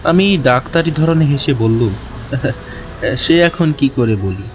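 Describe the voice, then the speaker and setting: A man's voice: medium pitch, expressive, a little slow, a little hiss or hum, lightly acted. A man playing a kindly young doctor who tells his own story in a reflective, literary way. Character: young, newly qualified male doctor recounting his tale, kindly. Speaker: narrator. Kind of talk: first-person scripted story narration with dialogue. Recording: clear music bed behind narration.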